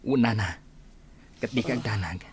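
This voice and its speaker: A man's voice: lowish voice, moderate pace, a little hiss or hum, close to an everyday voice. A man acting a dutiful, reassuring doctor in an Ilocano radio drama, speaking earnestly with an Ilocano accent. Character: dutiful, reassuring young male hospital doctor.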